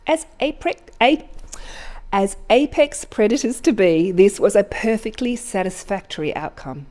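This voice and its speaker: A woman reading a whimsical short story aloud at a live event, expressive and deliberate, with dramatic pauses. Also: mid-range voice. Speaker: story reader.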